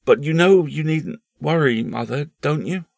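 A man doing an anxious, high-voiced young boy. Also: expressive, normal speed, vocal fry, no echo, clean studio sound. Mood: insistent. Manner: pleading, insistent, feverish.

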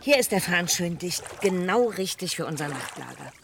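A woman's voice: fairly low pitch, lively intonation, moderate pace, gravelly, a little hiss or hum. A woman voicing the caring, practical Moomin mother in a children's radio play, with a soothing, sensible tone. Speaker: voice actor. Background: music score.

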